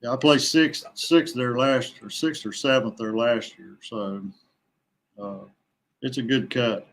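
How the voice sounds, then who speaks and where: A man talking casually as a podcast guest, a veteran angler who haltingly thinks aloud as he goes. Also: medium pitch, a little hiss or hum.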